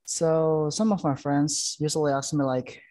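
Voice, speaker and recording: A man's voice: on the high side, steady tone, measured pace, warm tone. A young man asking a question on a video call through a headset, hesitant and searching for words.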